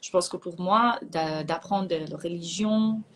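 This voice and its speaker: A woman's voice: fairly low pitch, measured pace, fairly bright tone, a little hiss or hum. Iranian woman speaking reflectively. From Iran. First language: Persian. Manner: reflective, searching for words. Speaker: guest, psychologist. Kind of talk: casual conversation.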